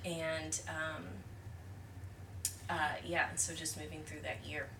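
Low-voiced woman, distant and roomy. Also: little pitch movement. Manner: earnest, thoughtful, with frequent pauses and restarts. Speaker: counselor guest. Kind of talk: reflective podcast interview. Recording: roomy, distant mic with clear background noise.

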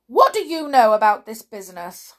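A woman's voice: fairly high pitch, big pitch swings, normal speed, pitched above the performer's own voice. A woman voicing an imperious, impatient king who presides as judge, with a commanding, blustering manner. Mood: stern, blustering.

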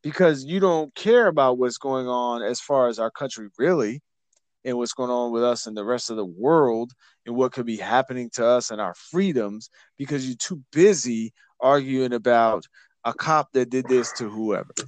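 A man's voice: mid-range voice, moderate pace, no room sound. A man with a mid-range voice who talks bluntly and stumbles over repeated words. He swears freely in a loose podcast chat. The sound is dry and close to the mic. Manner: blunt, repetitive, profane. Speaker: podcast host.

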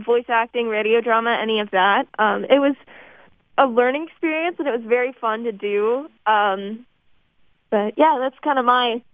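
High-voiced teenage girl, phone-like line. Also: dry recording. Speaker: high school theater student, guest. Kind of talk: casual radio interview chat. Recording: dry, narrow phone-like line.

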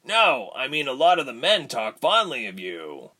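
Man playing a quiet, devoted male captive. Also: mid-range voice, sing-song, normal speed, pitched above the performer's own voice. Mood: warm teasing. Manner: quiet, earnest.

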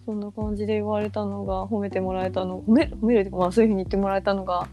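A woman's voice: mid-range voice, level tone, racing, warm tone, soft background music. A Japanese-speaking woman in her thirties chatting casually with close friends as a podcast co-host, sometimes acting out what others said. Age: 30s. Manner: chatty, quotes others in acted voices.

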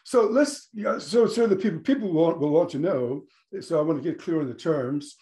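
A man's voice: fairly high pitch, moderate pace, mellow. Friendly male podcast host. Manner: friendly, rambling questions with restarts. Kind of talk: podcast interview.

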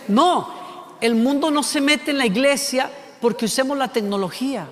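A man from Costa Rica with a Costa Rican accent preaching a sermon, animated, with many rhetorical questions and acted-out voices. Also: sits high, in a large hall. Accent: Costa Rican Spanish.

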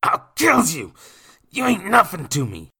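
A spiteful drunk in a storybook, loud and pushed well up in pitch. He slurs and bellows in rough dialect, mocking and belligerent. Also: very animated, normal speed, full character voice. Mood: threatening fury. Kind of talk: dramatised audiobook character voice.

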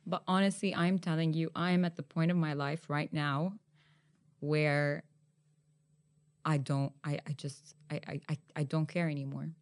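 A young woman hosting a podcast interview, animated and emphatic, often laughing as she talks. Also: low-pitched, moderate pace. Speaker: podcast host. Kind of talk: conversational interview.

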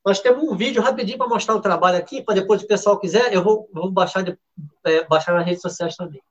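A man's voice: high-pitched, fast talker, dull audio. A man hosting a football betting show in a casual, chatty way, often restarting his sentences. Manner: chatty, with many restarts and fillers. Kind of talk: casual live show talk.

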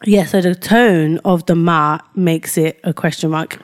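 A woman's voice: lowish voice, fairly even intonation, measured pace. A woman co-hosting a podcast in casual banter, using slang like a habit and explaining things with examples and asides. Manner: slangy, says fam and yeah often. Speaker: podcast co-host.

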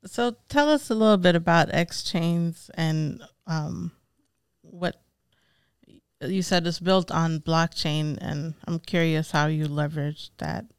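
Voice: medium pitch, slow, smooth. A co-host asking curious questions in a casual interview.

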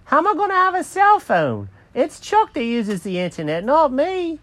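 A boastful little tomcat from a storybook, put on by a storyteller, pitched very high and squeaky. He is dramatic and easily indignant, with pitch that leaps about as he talks. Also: wildly swooping pitch, moderate pace, a bit bright, no echo, heavily acted. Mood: indignant, distressed. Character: excitable, self-important talking cat, male.

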